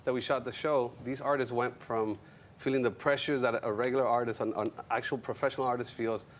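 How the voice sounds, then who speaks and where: A man's voice: medium pitch, steady tone, fairly quick, a little hiss or hum. A man talking casually as an interview guest, easygoing and telling stories in a conversational way. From Colombian background. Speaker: guest, music executive and show creator. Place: TV studio.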